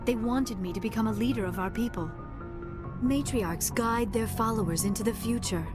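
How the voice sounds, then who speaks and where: A shy, bookish young alien archaeologist, voiced by a woman speaks in careful, formal sentences at a middle pitch. She is earnest and awkward around people. Game score plays clearly beneath her. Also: close to an everyday voice. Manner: earnest, formal, flustered when embarrassed. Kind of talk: video game dialogue. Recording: game audio with music behind.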